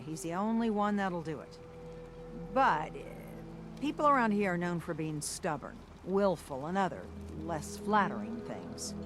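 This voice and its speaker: Measured, weary harbor town leader. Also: female voice, on the low side, noisy background. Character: weary, diplomatic female harbor town leader, firm peacekeeper. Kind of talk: video game dialogue acting.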